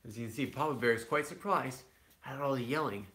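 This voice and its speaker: A man's voice: medium pitch, fairly quick, a bit bright. A man reading a picture book aloud to his children, with conversational asides. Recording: echoey room.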